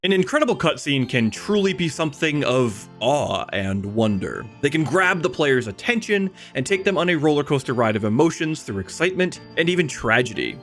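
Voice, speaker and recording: Animated man narrating a video essay over music. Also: on the high side. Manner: emphatic, wry, dramatic pauses for effect. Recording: music behind the voice. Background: background music.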